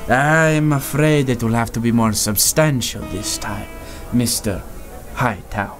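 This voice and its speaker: An actor playing a sly, menacing male informant who bargains slowly in a 1930s-style radio serial. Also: mid-range voice, lightly acted. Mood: sinister. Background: music.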